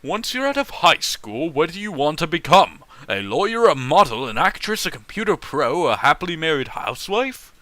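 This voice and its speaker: A man reading fan fiction aloud as host, breaking off for animated, opinionated and profane casual commentary. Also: a little high, normal speed, few pauses, no echo.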